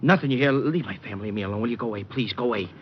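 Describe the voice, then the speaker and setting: An actor playing a scared, evasive working-class truck driver, halting and agitated, in an old radio detective drama. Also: male voice, lowish voice, close to an everyday voice. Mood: frightened, pleading. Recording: muffled, faintly noisy old radio recording.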